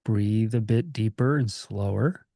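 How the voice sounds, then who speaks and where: Mellow, low-voiced man guiding a meditation. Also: vocal fry, dry recording, clean studio sound. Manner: gentle, calming, informal with pauses. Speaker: meditation teacher. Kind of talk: guided meditation, conversational and unscripted.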